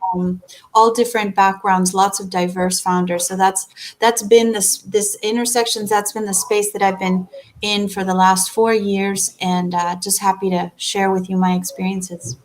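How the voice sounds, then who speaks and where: A woman's voice: medium pitch, level tone, moderate pace. A woman from Chile, a guest on a webinar interview, talking about her work with startup founders in an upbeat, eager way. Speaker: guest speaker, startup ecosystem builder.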